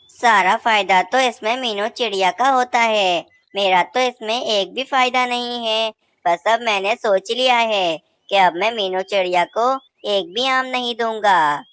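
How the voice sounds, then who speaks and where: A woman's voice: mid-range voice, fairly bright tone, clean tone, dry recording, close to an everyday voice. A woman voicing a proud male mango tree in a cartoon, grown boastful about his fruit. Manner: pleading then haughty. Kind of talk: cartoon voice acting.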